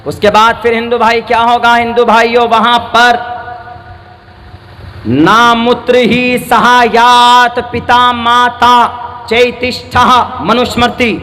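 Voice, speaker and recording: Very high, forceful male preacher in heavy reverb. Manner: emphatic, declamatory, repeats phrases for stress; mixes scripture recitation and English words into his address. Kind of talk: fiery sermon oratory to a crowd. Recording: noisy, long-reverb public address sound.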